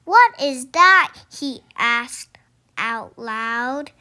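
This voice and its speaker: A young child reading a children's storybook aloud haltingly, sounding out words and pausing mid-sentence. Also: sits high, animated, sharp tone, smooth. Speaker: young reader.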